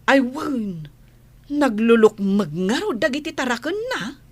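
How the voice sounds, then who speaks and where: A woman's voice: fairly high pitch, animated, unhurried, breathy edge, dry recording. An actress playing a practical village woman in an Ilocano radio comedy drama, with an Ilocano accent. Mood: matter-of-fact.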